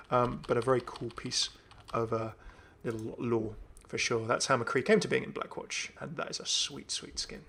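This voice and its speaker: A man's voice: mid-range voice, gravelly, fairly bright tone. A young man giving casual, enthusiastic commentary on a video game. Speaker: gaming video host.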